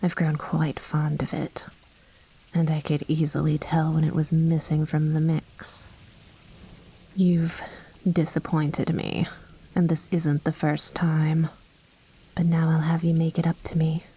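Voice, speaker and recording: A woman playing a commanding, possessive vampire noblewoman in an intimate ASMR roleplay, speaking in breathy whispers with a haughty, coaxing, softly menacing manner. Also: low voice, completely flat delivery, a little slow, long pauses, exaggerated voice, low-quality recording. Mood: cold, displeased.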